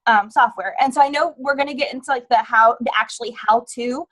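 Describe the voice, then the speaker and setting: A woman's voice: a little high, a little fast, a bit bright, low-quality recording. A woman panelist talking casually on a live online panel, chatty, with lots of "like" and "um". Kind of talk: casual panel chat on a livestream.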